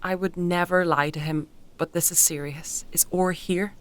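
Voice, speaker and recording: An actress playing a devout, guarded woman in a fantasy audio drama, speaking in a measured, earnest way. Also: on the low side, steady tone, lightly acted. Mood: grave, probing. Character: devout, guarded woman loyal to a secret order.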